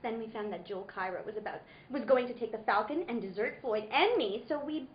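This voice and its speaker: A woman's voice: a little high, lively intonation, brisk, lightly acted. A woman playing a seductive, lying femme fatale in a noir radio play, with theatrical, pleading delivery. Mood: coy. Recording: dull roomy recording with background noise.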